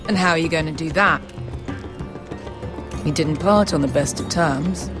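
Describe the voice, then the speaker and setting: A female sellsword talks in a blunt, clipped, sceptical way in a fantasy game. She sounds gruff and wry yet loyal, and music plays clearly behind her. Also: sits low, unhurried. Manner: sardonic, casual, clipped. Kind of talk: dramatic video game dialogue.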